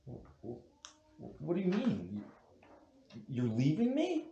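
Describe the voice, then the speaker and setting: An actor at a table read playing a panicked, guilty husband with a nervous, hesitant way of talking. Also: male voice, on the high side, huge pitch swings, a little slow, dark tone, lo-fi sound, exaggerated voice. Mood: pleading. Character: panicked, guilty husband turned killer, stammering and pleading. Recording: roomy echo with faint background noise.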